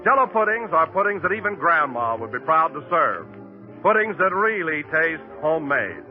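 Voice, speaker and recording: A man's voice: a little high, very animated, normal speed, soft background music. An American man working as a radio announcer, reading a 1940s advertisement with emphatic, upbeat salesmanship. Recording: muffled 1940s radio recording.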